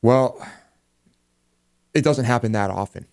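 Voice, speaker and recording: A man's voice: mid-range voice, takes it slowly, gravelly, a little mellow. An American man co-hosting a talk show, chatting casually in a studio. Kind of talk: casual banter. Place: radio and TV studio.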